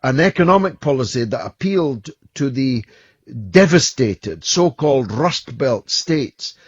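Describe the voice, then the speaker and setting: A middle-aged man with a Scottish accent holds forth on talk radio, his pitch swinging widely as he lands his points. The voice is a little husky. The studio sound is dry and slightly dull. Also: lively intonation, a little slow, dry recording. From Scotland. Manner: emphatic, rhetorical and forthright. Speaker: radio show host. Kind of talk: radio talk show chat. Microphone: studio microphone.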